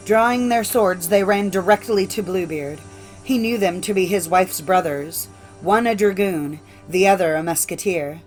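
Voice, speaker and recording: Female narrator for classic fairy tales: medium pitch, formal scripted storytelling over music. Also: darkish tone, low-quality recording. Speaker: audiobook narrator.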